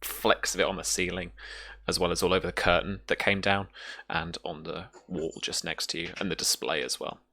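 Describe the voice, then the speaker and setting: Game master, male: deep, crisp-edged voice, halting descriptive narration, dry close sound. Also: steady tone, pushed and bright, no echo. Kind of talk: improvised narration of a tabletop game.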